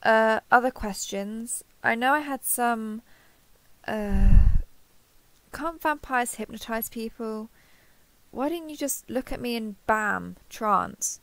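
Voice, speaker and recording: A woman's voice: a little high, slow, fairly bright tone, dry recording, low-quality recording. A woman playing a kindhearted, awkward, easily flustered human in an intimate roleplay audio, hesitant and stammering as she asks curious questions. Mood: curious, overwhelmed. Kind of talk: intimate roleplay audio acting, one side of a conversation.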